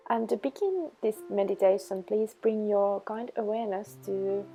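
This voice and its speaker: Mellow, creaky-voiced woman guiding meditation. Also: mid-range voice. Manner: slow, instructional, measured phrasing. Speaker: meditation guide. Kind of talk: guided meditation. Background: background music.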